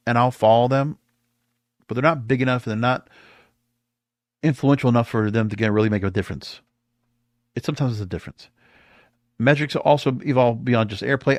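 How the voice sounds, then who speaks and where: Man, podcast host, lively swinging intonation, mid-pitched voice, emphatic, conversational commentary, close dry sound. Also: no echo. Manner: conversational, emphatic, partly reading aloud. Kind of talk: opinionated music industry commentary.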